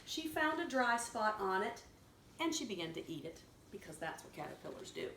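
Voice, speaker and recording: Woman, medium pitch, children's read-aloud, simple teacherly explanations, roomy echo, faint background noise. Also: big pitch swings, fairly bright tone. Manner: pauses to explain words and prompt repetition. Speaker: children's story reader and teacher. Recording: echoey room with clear background noise.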